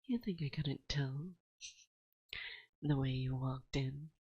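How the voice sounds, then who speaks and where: A woman playing a dominant, teasing and affectionate forest witch in an ASMR roleplay, speaking in a hushed, breathy voice that often drops to a whisper, close to the microphone. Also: extremely low pitch, no echo, highs cut off, heavily acted. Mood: teasing, seductive. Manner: slow, soothing, teasing, gently dominant. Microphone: close binaural microphone.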